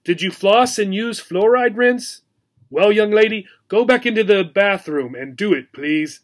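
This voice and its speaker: A man's voice: sits high, expressive, measured pace, pitched above the performer's own voice. A man voicing a stern, nagging mother in a storybook, speaking in a firm, scolding manner.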